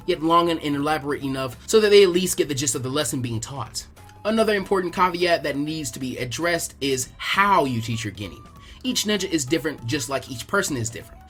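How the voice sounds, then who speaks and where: Higher-pitched man narrating a story. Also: a bit bright. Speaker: narrator of fan fiction. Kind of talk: scripted narration.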